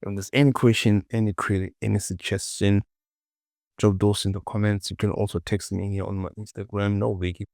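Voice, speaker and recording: A man presenting a casual software tutorial, informally talking himself through the work and often repeating words, close to the mic. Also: fairly low pitch, level tone, fairly quick, vocal fry, no room sound.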